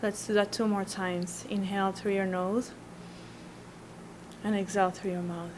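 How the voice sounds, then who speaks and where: A woman guiding a breathing meditation slowly and soothingly, with long pauses between instructions. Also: medium pitch, flat delivery, noisy background. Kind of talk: guided meditation.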